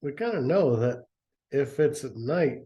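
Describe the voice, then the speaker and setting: A man reads a story aloud and keeps stopping to mock it with dry, sarcastic asides. His voice is mellow and dark in tone, at a middling pitch. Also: animated, a little slow. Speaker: reader and commentator. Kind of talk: dramatic reading with sarcastic commentary.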